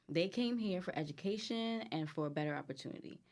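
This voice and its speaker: Guest spot: candid, chatty woman, Guyanese accent, deep for a woman. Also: animated, normal speed. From United States, Guyanese family. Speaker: guest entrepreneur. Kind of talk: casual chat over cocktails.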